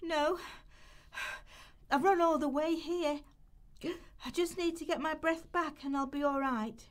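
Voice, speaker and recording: Desperate young mum for radio drama, played by a woman: high voice, pleading, flustered, apologetic. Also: measured pace. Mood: flustered, humbled. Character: desperate young mother pleading over sanctioned benefits. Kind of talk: amateur radio play rehearsal.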